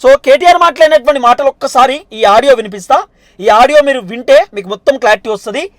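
A man's voice: very high voice, fast, no echo, studio quality. A man with a Telangana Telugu accent presenting informal political news commentary in a repetitive, explanatory way.

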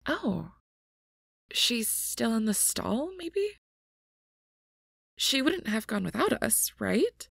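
A woman's voice: on the high side, big pitch swings, slow, vocal fry, pauses often, a bit bright, exaggerated voice. A woman voicing a caring, anxious, responsible young woman who speaks carefully and breathily in an audio roleplay. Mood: concerned.

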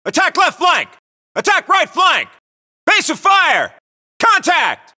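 An actor playing a tough American Marine in a military video game, calling out short, clipped, urgent battle chatter. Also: male voice, very high voice, huge pitch swings, slow, exaggerated voice. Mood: tense, commanding. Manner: clipped, urgent, shouted radio-style callouts. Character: young tough US Marine barking combat callouts.

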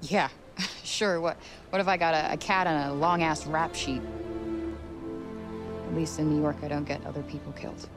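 A woman's voice: sits low, fairly even intonation, normal speed, pauses often, over loud music. An actress playing a sardonic young New Yorker woman in a video game, with an American accent and blunt, slangy delivery. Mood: guarded. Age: young adult. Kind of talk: video game cutscene acting.

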